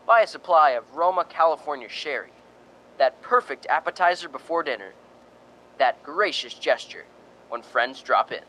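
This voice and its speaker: A man playing a polished 1940s radio announcer delivering a sponsor's pitch. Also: pushed and bright, low-quality recording.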